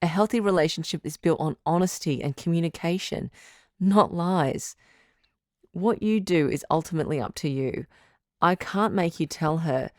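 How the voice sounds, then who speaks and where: A woman playing a composed, frank relationship doctor who hosts a radio call-in drama in a measured, counselling way. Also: low-pitched, dry recording, clean studio sound, natural voice. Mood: firm, caring. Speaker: radio advice show host.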